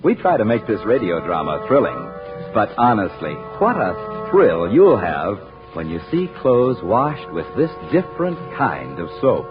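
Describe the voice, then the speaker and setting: A man's voice: fairly low pitch, huge pitch swings, unhurried. A man announcing and narrating a 1940s radio show with polished, emphatic, scripted delivery. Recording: muffled old radio, music behind.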